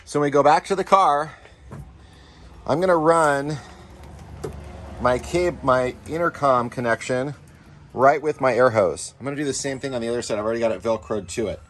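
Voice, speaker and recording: A man's voice: mid-range voice, normal speed, long pauses, fairly bright tone, a little hiss or hum. A man hosting a casual DIY tutorial, explaining each step informally to viewers as he works. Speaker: DIY off-road channel host. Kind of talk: casual hands-on install tutorial.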